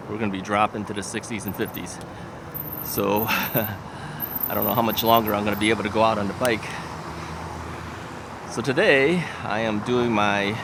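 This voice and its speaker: An older man vlogging casually about his e-bike, rambling and chatty with fillers and soft laughs, sniffling from a stuffy nose. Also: fairly low pitch. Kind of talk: casual vlog chat. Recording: outdoor recording with loud traffic noise. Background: loud traffic and street noise.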